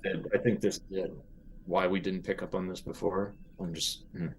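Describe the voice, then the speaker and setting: Male game player, low register, casual and halting as he reasons out a plan, light noise behind. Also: level tone, low-quality recording. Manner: halting, thinking aloud. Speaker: player in a tabletop role-playing game. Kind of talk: casual in-game planning talk.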